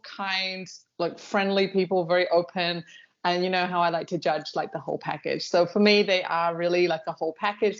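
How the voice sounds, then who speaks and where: A woman giving her opinions as a panelist in a casual chat, on a dull lo-fi recording. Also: fairly low pitch. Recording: dull, lo-fi band-limited sound.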